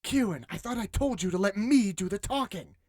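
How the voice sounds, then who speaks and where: A man's voice: high voice, expressive, brisk, darkish tone, no echo, studio quality. A man acting a young swordsman in a fantasy audiobook, protective and caring with a short fuse. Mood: exasperated. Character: young male swordsman, protective boyfriend, exasperated but caring.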